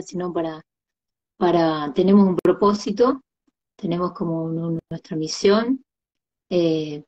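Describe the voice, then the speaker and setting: A woman with an Argentine Tucumán accent, a guest on a live video call, speaking earnestly and gently. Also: fairly low pitch. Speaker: interview guest. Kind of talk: casual heartfelt conversation. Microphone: phone on a video call. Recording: dull lo-fi video-call audio.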